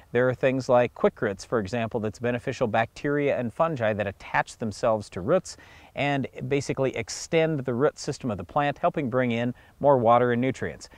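A man's voice: mid-range voice, no room sound. A middle-aged man from the United States hosting a farm show, explaining steadily and plainly, outdoors in a field on a lapel mic. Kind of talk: scripted educational farm talk.

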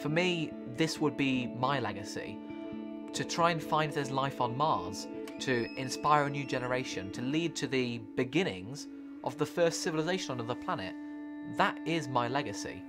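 A young man with a British accent speaks in a precise, matter-of-fact way, filmed close up indoors. His pitch sits in the middle range. Music plays clearly beneath his interview. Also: lively intonation, normal speed. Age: young adult. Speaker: physics student. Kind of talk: documentary interview.

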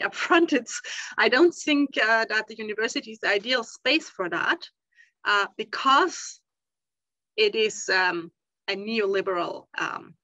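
A woman's voice: mid-range voice, pushed and bright, no room sound. A woman from Austria with an Austrian German accent, giving an academic talk on a video call, thoughtful and full of ums. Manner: thoughtful, many ums and uhs. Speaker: conference panelist. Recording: dull lo-fi video call audio.

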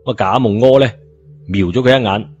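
A man's voice: lowish voice, brisk, a little mellow. A man with a Cantonese accent narrating a scripted story in a colloquial style, as an audiobook reader. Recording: muffled narration over music bed.

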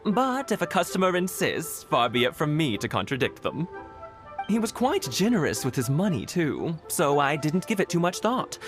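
Nervous stammering merchant, very lively pitch. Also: male voice, normal speed, music in the background. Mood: thoughtful, accommodating. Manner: stammering, flustered, deferential. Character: nervous, obsequious male jade merchant shop boss. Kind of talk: video game voice acting.

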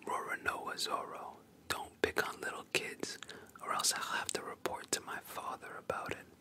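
A storyteller voicing a spoiled, bullying young captain's son in a breathy whisper, as in a manga retelling. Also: very high-pitched, lively intonation, normal speed. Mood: smug. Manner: bullying, boastful.

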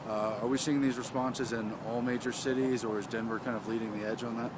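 A man's voice: mid-range voice, level tone, brisk, crowd noise. A man interviewing someone outdoors, politely asking questions. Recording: loud outdoor traffic noise.

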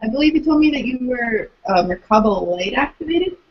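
A young woman co-hosting a podcast interview on a video call, asking questions in a relaxed way. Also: fairly high pitch, fairly quick, breathy edge. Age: young adult. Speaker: podcast co-host. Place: small room indoors. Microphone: webcam on video call. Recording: dull lo-fi webcam audio.

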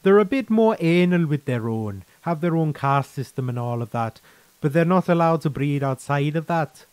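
A man's voice: fairly high pitch, expressive, normal speed, darkish tone, no room sound, lightly acted. A man voicing a chatty, frank, easygoing veteran soldier in a sci-fi story, with colloquial, mildly profane, persuasive talk. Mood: candid, casual.

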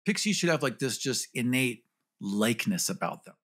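A man's voice: medium pitch, big pitch swings, moderate pace, a bit bright. A man talking casually and jokingly as part of a podcast discussion.

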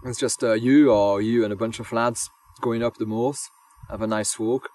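A man, a hobby wild camper, thinking aloud in relaxed interview answers, pausing with lots of ums and restarting his sentences. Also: medium pitch, slight background noise. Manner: hesitant, many ums and restarts. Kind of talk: casual reflective interview answers. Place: outdoors on moorland. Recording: distant voice under loud music.

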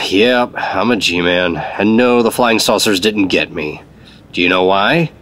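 An actor playing a world-weary, hard-boiled government special agent from the 1950s, in clipped noir radio drama style. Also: male voice, sits low, slow. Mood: dry, dismissive. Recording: loud music bed under voice.

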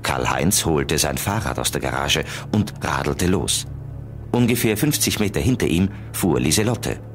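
A man narrating a children's radio play as a calm storyteller, reading scripted prose. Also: rumbling low, normal speed, faint music behind. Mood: calm storytelling.

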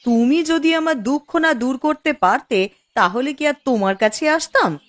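A woman's voice: high-pitched, moderate pace, no room sound, close to an everyday voice. A woman voicing a discontented, ruthless village wife in a cartoon, dramatic and scheming. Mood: bitter complaining. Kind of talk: Bengali fairy-tale cartoon voice acting.

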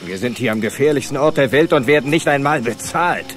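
A man playing a gruff, disillusioned dinosaur scientist in a German audio drama, speaking in a curt, dry way. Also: medium pitch, expressive, brisk, soft background music, natural voice. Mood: sarcastic.

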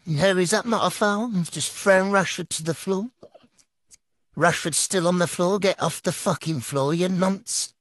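A man's voice: a little slow, no room sound. A British man with a foul-mouthed, exasperated manner commentates on his own football gaming through a trickster voice filter. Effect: pitch-altering trickster voice filter. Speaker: gamer commentating on own football game play.

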